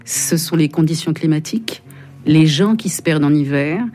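Woman radio host, warm mellow tone, lots of little pauses while she hunts for words. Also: low voice, faint music behind. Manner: hesitant, searching for words. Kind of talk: radio interview in French.

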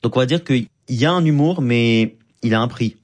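Male comedian guest, lively and flamboyant, mid-pitched voice, quick asides. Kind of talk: conversational interview talk.

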